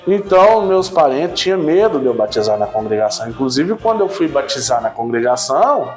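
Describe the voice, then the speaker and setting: A man in his thirties tells his own church story in a casual, talkative way, rising with excitement and then dropping low and quiet. His pitch sits in the middle range for a man. Soft music plays faintly underneath. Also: fairly quick. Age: 30s. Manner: animated storytelling, frequent "né?" tags, swings between excited and subdued. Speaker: church member giving testimony. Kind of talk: casual personal testimony.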